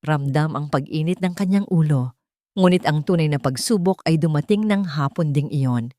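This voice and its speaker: Dry, low-voiced female storyteller. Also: dark tone, no echo. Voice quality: emotive, measured storytelling. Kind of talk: dramatic narration of a love story.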